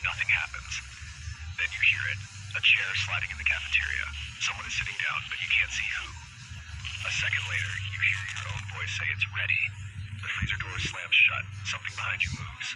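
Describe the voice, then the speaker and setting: A man playing a grim, secretive narrator of an eerie horror game, reading in a hushed, ominous way. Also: low-pitched, wildly swooping pitch, a little fast, poor audio. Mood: hushed dread. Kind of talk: scripted second-person horror narration.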